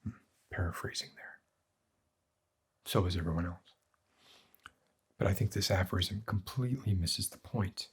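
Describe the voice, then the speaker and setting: A man playing a morbid, cynical doctor in an ASMR roleplay, lecturing in a pedantic, deliberate and bleak way with a husky voice. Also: a bit bright, exaggerated voice. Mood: dry, cynical. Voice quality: husky, breathy, low. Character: morbid, cynical male doctor treating depression with despair.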